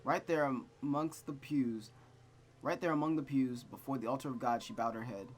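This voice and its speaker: A man's voice: mid-range voice, moderate pace, a little hiss or hum. A man reading a visual novel aloud as host and breaking off into rambling, self-deprecating commentary, stammering often. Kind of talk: let's play reading with casual commentary.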